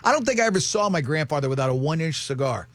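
A man's voice: on the high side, expressive, fairly bright tone, dry recording. A man in his fifties hosting a talk show, chatting and joking with co-hosts in a rambling way. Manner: joking, rambling, quick to laugh. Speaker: radio show host. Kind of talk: casual banter with co-hosts.

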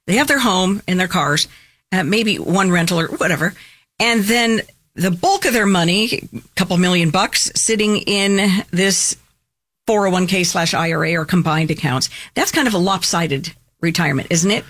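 Talk-radio host, middle pitch, chatty with quick back-and-forth. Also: fairly bright tone.

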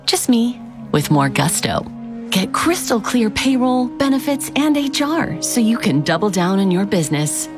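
A woman acts in a business software ad, bright and playful. Her pitch moves a lot. Music plays clearly under her. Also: sing-song, a little slow. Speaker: ad actor.

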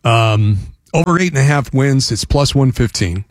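A man's voice: medium pitch, expressive, normal speed, no echo. A man from Wisconsin analysing football on a sports radio show, thinking his points through as he talks. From Wisconsin, USA. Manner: thoughtful, works through points as he goes.

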